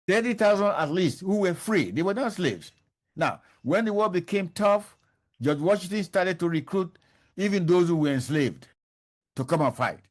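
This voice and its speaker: A man's voice: sits high, expressive, moderate pace. An older man answering interview questions, emphatic and repeating his key points. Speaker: professor, interview guest.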